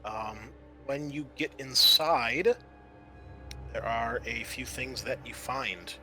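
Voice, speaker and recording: A man with a bright, forward voice narrates as a game master. He explains in a halting, improvised manner, with music playing underneath. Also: mid-range voice.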